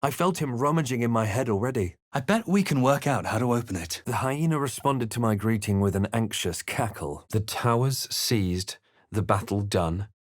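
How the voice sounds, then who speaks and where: An actor playing a brooding fantasy adventurer in a game, narrating his quest in a grave, measured, deliberate way. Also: medium pitch, animated, dry recording, studio quality, natural voice. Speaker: video game player-character voice. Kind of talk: dramatic first-person game narration.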